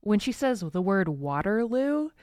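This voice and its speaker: A woman's voice: medium pitch, big pitch swings, measured pace, darkish tone, no echo, studio quality. A woman co-hosting a podcast in casual conversation. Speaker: podcast co-host. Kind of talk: casual chat.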